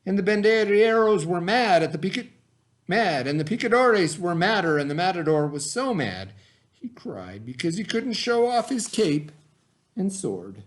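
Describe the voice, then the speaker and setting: A man with a slightly high-pitched voice speaks as a teacher to young children. He reads and talks in an expressive way. His phrasing is simple and addressed straight to his young listeners. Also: normal speed. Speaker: teacher reading aloud. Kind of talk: children's story read-aloud, then informal talk to children.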